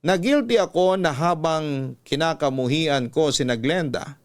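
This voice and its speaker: A man's voice: a little high, measured pace. A man narrating a scripted first-person story as a radio storyteller, in a solemn, emotive and dramatic style.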